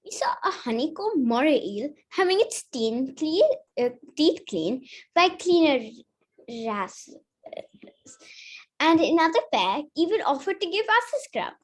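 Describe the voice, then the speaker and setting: A woman's voice: high voice, big pitch swings, measured pace. A woman reading a children's picture book aloud, carefully, with the odd stumble over a word. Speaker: story reader.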